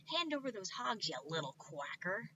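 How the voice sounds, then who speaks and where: A greedy, bossy witch from a children's storybook, put on by a woman reading aloud, with a shrill, screeching voice pushed well above a normal speaking pitch. She barks demands and tosses insults in a bright, sharp tone, loud and pushy. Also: big pitch swings, normal speed, muffled recording, a little hiss or hum. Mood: furious.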